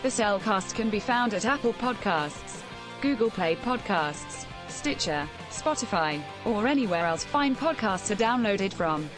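A woman reading a scripted podcast outro in an even, listing delivery. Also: mid-range voice, measured pace. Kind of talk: scripted read-out. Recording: guitar music underneath.

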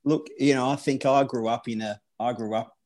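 A man's voice: mid-range voice, steady tone, darkish tone. A man with an Australian accent, a corporate lawyer from Western Australia, answering interview questions with many restarts and fillers. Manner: halting, frequent restarts and fillers. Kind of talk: podcast interview.

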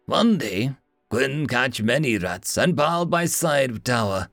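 A man's voice: mid-range voice, expressive, unhurried, fairly bright tone, dry recording, clean studio sound, faint music behind. A man voicing a regal, proud female house cat spirit with an Eastern European accent and broken speech.

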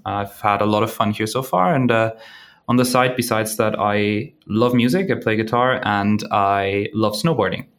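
A man's voice: fairly low pitch, moderate pace. A young man from Germany, a student guest who talks thoughtfully and often restarts his sentences.

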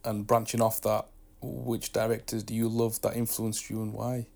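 A man's voice: fairly low pitch, completely flat delivery, moderate pace, gravelly, darkish tone. A man hosting a podcast, asking questions in a loose, chatty way and swearing freely. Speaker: podcast host. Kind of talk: casual podcast interview.

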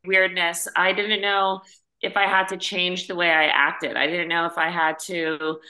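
Woman, bright forceful tone, lowish pitch, casual podcast guest, expressive, emphatic. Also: a little slow, rough recording.

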